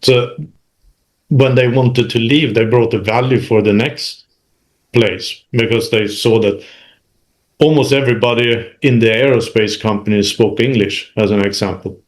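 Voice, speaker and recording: A man talks as a guest in an interview. His voice sits at a middling male pitch. He tells his story conversationally, pausing and correcting himself as he goes. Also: fairly even intonation. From Sweden. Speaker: interview guest, manufacturing executive. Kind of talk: recounting career experience in conversation.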